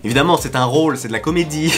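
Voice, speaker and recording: A man who does voice acting answers fan questions in a relaxed, joking way, often hesitating, on a studio condenser mic. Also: on the high side, rapid-fire, music in the background. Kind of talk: casual chat.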